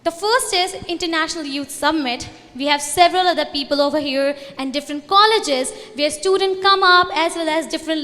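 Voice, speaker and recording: A young woman from India speaks with an Indian accent, her voice sitting high. She presents in earnest, phrasing things loosely and restarting, as a student group's representative at a conference. Her talk carries the long reverb of a large convention hall. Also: fairly bright tone. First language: Hindi. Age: young adult.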